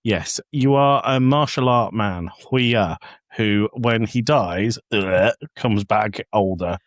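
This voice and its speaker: A man with a fairly deep voice chats as a podcast co-host. He is opinionated and blunt but informal. The recording is very dry. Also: slow, no room sound. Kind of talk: casual review chat.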